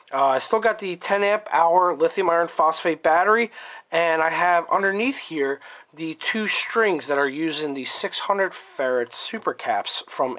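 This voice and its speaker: A man's voice: a little high, sharp tone. A man still getting over a cold, his voice a little off, casually walking through his electronics project at a workbench while thinking aloud. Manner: thinking aloud, frequent ums and self-corrections. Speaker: electronics hobbyist presenter. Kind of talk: casual hands-on tech walkthrough.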